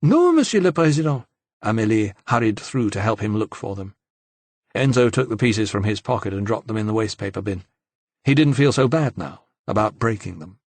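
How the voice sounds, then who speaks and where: A man's voice: lowish voice, fairly quick, dry recording. A man narrating fiction from a script as an audiobook reader.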